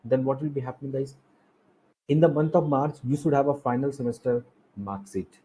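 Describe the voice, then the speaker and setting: Husky Indian man teaching a lesson. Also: medium pitch, fairly even intonation, fairly quick, mellow, poor audio. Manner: repetitive, emphatic explaining with rhetorical questions. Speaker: exam coaching instructor. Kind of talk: explanatory lecture over slides.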